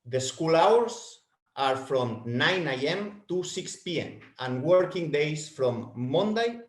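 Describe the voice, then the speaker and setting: Slow-talking man presenting in an echoey room. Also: a little high, lively intonation. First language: Spanish. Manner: halting, self-correcting non-native English. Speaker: webinar presenter. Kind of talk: product presentation webinar. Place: home.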